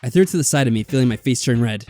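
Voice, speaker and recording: A man's voice: mid-range voice, lively intonation, racing, mellow. A man reading a visual novel aloud as a let's player, dropping in wry, exasperated casual asides. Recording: background music.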